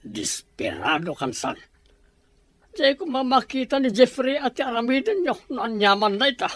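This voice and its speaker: A man's voice: extremely high pitch, sing-song, normal speed, fairly bright tone, exaggerated voice. A man acting as a doubtful husband who questions his wife's scheme in an audio drama. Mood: reproachful.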